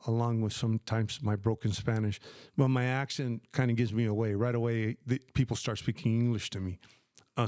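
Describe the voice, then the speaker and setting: A man's voice: medium pitch, a little mellow, no echo. Older American man, retired streamer, clean resonant voice, dry close sound, chatty with lots of "um"s. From United States. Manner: conversational, many fillers, answers viewer questions by name. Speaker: live stream host. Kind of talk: casual live stream Q&A.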